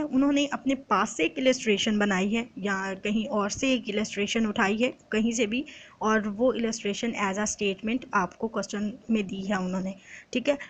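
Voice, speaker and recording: Female lecturer, higher-set voice, didactic exam-prep explainer delivery. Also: dull audio. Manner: didactic, poses questions then answers them, slowly reads out English quotations. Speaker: law lecturer.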